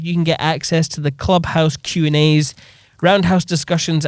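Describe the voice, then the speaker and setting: A man hosting a podcast, relaxed and encouraging with guests, reading his outro promos briskly. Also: fairly high pitch, clean tone, no room sound, studio quality. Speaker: podcast host. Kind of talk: casual interview, scripted outro.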